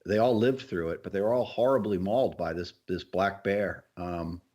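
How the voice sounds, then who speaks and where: Low-voiced middle-aged man chatting. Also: moderate pace, a little mellow. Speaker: podcast co-host. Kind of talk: casual chat, storytelling.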